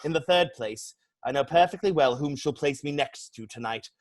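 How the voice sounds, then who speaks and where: A man playing a witty, flippant young English gentleman in a stage comedy reading, declaiming with pompous mock seriousness. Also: fairly high pitch, normal speed, lightly acted. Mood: airy indignation.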